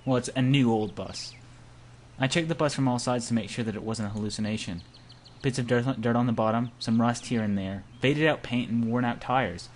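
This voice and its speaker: A man's voice: mid-range voice, fairly quick. A man reading visual novel narration aloud and chatting casually in between, on an amateur recording. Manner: stumbles over words while reading. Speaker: amateur voice-over player in a group. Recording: noisy amateur home recording.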